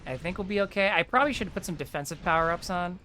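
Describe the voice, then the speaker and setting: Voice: mid-range voice, fast, bright, forceful tone, a little hiss or hum. Chatty gamer giving a casual walkthrough. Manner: chatty, informal, mild swearing; thinks aloud, explaining as they play. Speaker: streamer playing an online game. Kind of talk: casual game walkthrough commentary.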